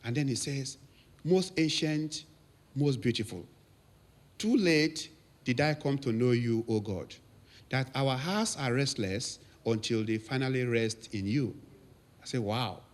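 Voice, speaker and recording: Nigerian priest in his fifties, anecdotal speech to his congregation, lively when quoting others. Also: mid-range voice, unhurried. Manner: storytelling, laughs often, lively quotes. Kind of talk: anniversary thank-you speech.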